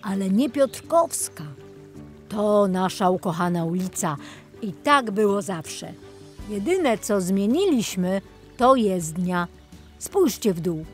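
Lady doing a cheery forgetful old tour guide guy for a kids story, rambly and excited, slowish, music behind. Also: mid-range voice. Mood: proud, enthusiastic. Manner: rambling, enthusiastic, exclamatory. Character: jolly, chatty, absent-minded older male tour guide. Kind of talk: children's storybook character voice.

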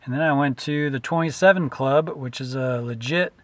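A man's voice: mid-range voice, normal speed, a bit bright, no echo. Easygoing man vlogging from his car. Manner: easygoing, with frequent "uh" fillers. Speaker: vlogger and musician. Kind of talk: casual travel vlog narration. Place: inside a parked car at night. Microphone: camera facing him at close range.

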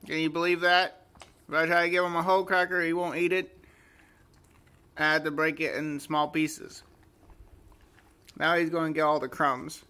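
A man's voice: on the high side, flat delivery, moderate pace, pauses often, pushed and bright. A man casually chatting and coaxing as a pet vlogger, repeating simple questions, filmed on a handheld device indoors at home. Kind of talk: casual chat to camera and dog. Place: indoors at home, hallway and carpeted room. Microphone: handheld phone or camera behind the lens. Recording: noisy amateur home recording.

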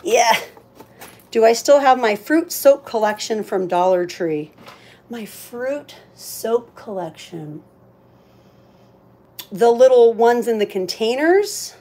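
A woman's voice: on the high side, expressive, slow pace, pauses often, mellow, a little hiss or hum. A woman hosting a live auction in casual, chatty talk, thinking aloud as she handles items. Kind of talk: casual livestream sales chat.

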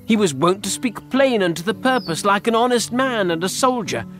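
A man playing a witty, scornful Shakespearean gentleman in a mocking, theatrical classical monologue. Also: sits high, animated, moderate pace, faint music behind, voice pushed up. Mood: mocking, incredulous.

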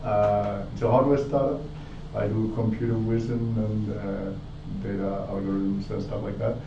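A man's voice: lowish voice, level tone, normal speed. A man from Finland, a native Finnish speaker, giving an informal self-introduction at a meetup. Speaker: meetup attendee. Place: indoor meetup room. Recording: distant, muffled, echoey, noisy meeting room.

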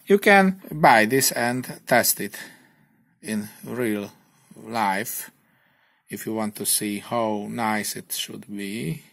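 A man with a Hungarian accent, a non-native English speaker, narrating a hobby electronics kit tutorial in plain, slightly halting English. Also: lowish voice, vocal fry, a bit bright.

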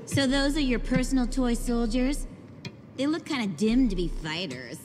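An actress playing a cocky, bloodthirsty young fighter in a video game, taunting and playfully menacing. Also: female voice, a little high, expressive, normal speed, close to an everyday voice. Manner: taunting, brash. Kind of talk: video game cutscene voice acting. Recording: reverberant hall, clearly noisy background.

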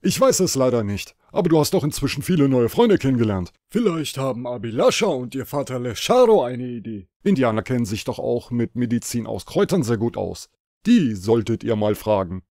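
A man's voice: mid-range voice, very animated, fairly quick, darkish tone, no echo, studio quality. A man voicing a kindly, concerned old Man in the Moon in a children's audio play, giving advice. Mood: advising.

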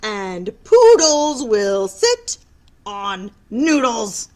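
A woman's voice: mid-range voice, big pitch swings, extremely slow, darkish tone, pitched above the performer's own voice. A woman voicing a smug, matter-of-fact frog in a rhyming picture book read aloud.

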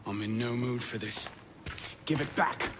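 A man's voice: mid-range voice, measured pace, long reverb tail, very noisy, lightly acted. An actor playing a cocky, defiant young Frenchman with a flippant, bantering manner in a video game cutscene. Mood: irritated, defiant. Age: young adult.

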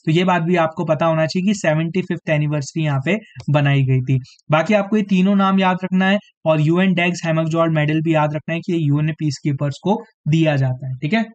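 A man with a fairly high voice leads an online quiz class for exam students. He is a bit hoarse and talks straight to his viewers, checking in with them after nearly every point. The sound is dull and lo-fi, like a streamed lesson. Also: brisk. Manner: repeats "theek hai" as a tag, addresses students directly. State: slightly hoarse, clearing throat. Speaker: exam coaching teacher.

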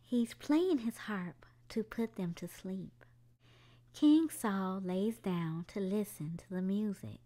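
A woman's voice: medium pitch, slow pace, mellow. A woman hosting a children's storytime, reading a picture book aloud expressively to young children, with music behind her.

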